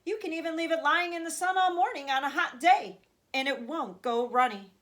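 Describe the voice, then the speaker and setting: A woman voicing an excitable, kindly old grandfather from a children's storybook, dramatic and savoring each detail. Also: high-pitched, moderate pace, pitched above the performer's own voice. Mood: emphatic, excited. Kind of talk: children's storybook read aloud.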